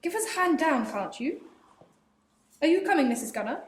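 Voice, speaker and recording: A woman's voice: high-pitched, big pitch swings, moderate pace, roomy sound, slight background noise. A teenage girl playing an insistent wife chivvying her husband. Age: teens.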